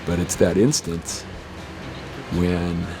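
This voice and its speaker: A man of sixty, a longtime surfer, speaking in a relaxed, musing way. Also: low-pitched, slow pace, music in the background. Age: 60s. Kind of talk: casual interview talk.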